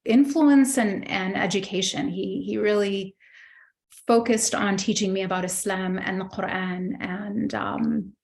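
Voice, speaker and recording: A woman's voice: mid-range voice, fairly even intonation, a little slow, gravelly. Egyptian-born woman telling her story. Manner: thoughtful, many fillers and restarts, warm recollection. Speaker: interview guest. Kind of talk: reflective personal storytelling.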